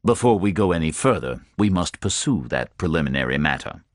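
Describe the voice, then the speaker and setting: A man doing a deep, rumbling, formal detective captain. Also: big pitch swings, fairly quick, no room sound, studio quality.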